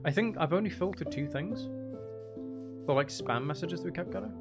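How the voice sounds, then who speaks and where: Soft-spoken man over clear background music. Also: fairly high pitch, fairly quick. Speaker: ASMR content creator and streamer. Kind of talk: casual livestream chat, soft speaking.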